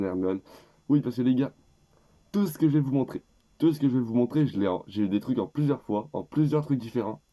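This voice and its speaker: A teenage boy with a low, soft-edged voice, audibly stuffed up with a cold. He talks in a rambling, hesitant way, often stopping and starting over mid-sentence. The delivery is loose and casual. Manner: rambling and hesitant, with many restarts. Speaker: YouTuber. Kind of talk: casual gift-list vlog.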